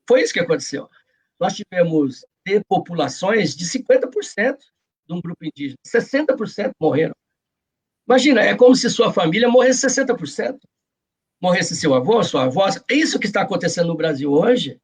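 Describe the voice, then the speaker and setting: A man's voice: high-pitched, big pitch swings, a little fast, husky. A man with a Brazilian Portuguese accent, speaking earnestly and explaining his views in a reflective interview. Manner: earnest, explanatory, often seeking agreement with short tags. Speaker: interview guest, journalist and author.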